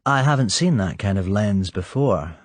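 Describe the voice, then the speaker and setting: A man playing a polite, chummy English gentleman spy in an audiobook, affable and casual. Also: on the low side, expressive, normal speed, breathy edge, no room sound.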